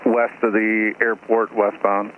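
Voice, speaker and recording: A man's voice: lowish voice, level tone, slow pace, phone-quality sound. A man working as an air traffic controller, giving procedural instructions over aviation radio. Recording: radio transmission with faint noise.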